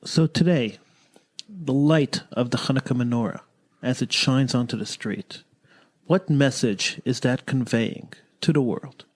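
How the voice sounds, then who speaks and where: A man's voice: mid-range voice, unhurried, a little mellow. A man, hosting and interviewing on talk radio. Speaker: radio host.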